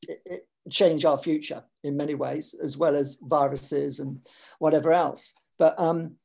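An older man talking on a video call from his home, an animated and digressive speaker with many restarts in a reflective literary conversation. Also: on the high side, unhurried, vocal fry. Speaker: author in panel conversation. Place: home room with shelves.

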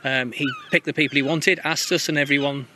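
Bright-voiced man answering hesitantly. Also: fairly high pitch, fairly even intonation, a little fast, a little hiss or hum. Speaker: team member. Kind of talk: interview answer.

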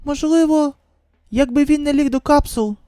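A woman acting a high-strung, emotional young survivor in a manga dub. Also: normal speed, mellow, dry recording, clean studio sound, natural voice. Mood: anguished.

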